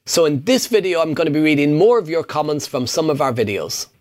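A man's voice: fairly high pitch, animated, brisk, a little mellow. A middle-aged man hosting a casual Q&A, answering viewer questions on property investing in an upbeat, emphatic way. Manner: upbeat, emphatic, punchy repetition.

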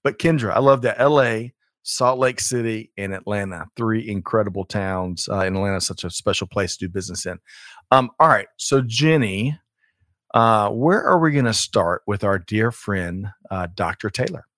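A man's voice: on the low side, expressive, normal speed, a bit bright, no room sound, clean studio sound. A man hosting a show, upbeat and chatty, often correcting himself. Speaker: show host. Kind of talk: podcast hosting.

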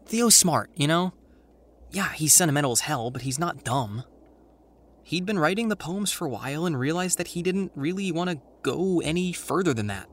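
A man's voice: on the high side, animated, a bit bright. Anxious, wry teenage boy. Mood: wry, reflective. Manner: halting, dry, self-conscious. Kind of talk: naturalistic audio drama acting.

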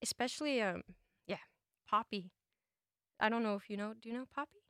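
A woman's voice: medium pitch, brisk, pushed and bright, smooth, dry recording, clean studio sound. A young woman chatting casually as an interview guest, informal and peppering her stories with "like". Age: young adult. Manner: informal, filler-heavy with "like", quotes her own thoughts. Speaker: musician guest. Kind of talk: casual chat. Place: radio studio.

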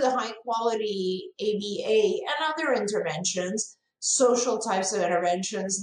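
Earnest woman, English as second language. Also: mid-range voice. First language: not English. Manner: earnest, thoughtful, frequent restarts. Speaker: ABA program director. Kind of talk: live-stream Q&A answer. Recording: roomy echo.

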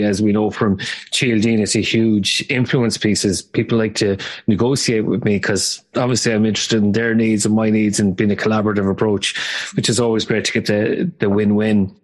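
Male podcast host explaining terms. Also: on the low side. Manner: explanatory, sums up for listeners, structured segues. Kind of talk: interview hosting.

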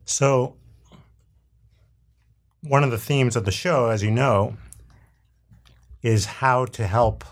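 A man hosting an interview, asking slow, hesitant questions. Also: mid-range voice.

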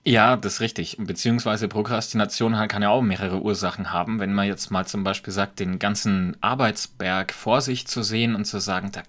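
A man with a light Franconian accent, a podcast guest talking in a reflective, measured way and explaining with examples. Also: on the low side, fairly even intonation, fairly bright tone. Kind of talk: conversational podcast talk.

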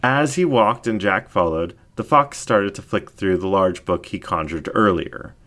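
A man reading a story aloud as narrator, with expressive scripted delivery. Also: sits low, unhurried.

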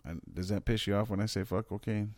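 Deep-voiced excitable man chatting. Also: fast talker, no echo. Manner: excitable, swearing, riffing on questions. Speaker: podcast co-host. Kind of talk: casual chat.

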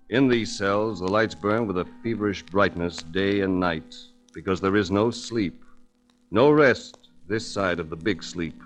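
An actor playing a sober, world-weary investigator, grave and measured, in a 1940s hard-boiled radio drama. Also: male voice, low voice, close to an everyday voice. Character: sober, world-weary male investigator telling his case.

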